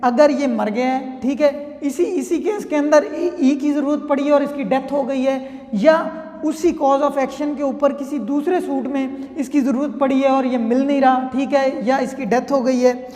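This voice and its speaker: A woman's voice: high-pitched, fairly even intonation, a little slow, warm tone. A woman with a Pakistani accent teaching law, explaining with examples in a conversational way and often checking that the listener follows. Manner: conversational, often checking understanding with tag phrases. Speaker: law lecturer. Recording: echoey room with long reverb.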